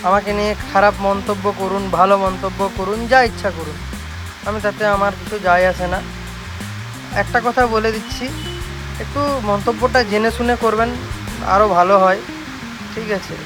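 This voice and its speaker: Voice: mid-range voice, fairly even intonation, moderate pace, pauses often, music in the background. A shop owner with a West Bengal accent giving an informal, insistent, repetitive promotional talk to viewers.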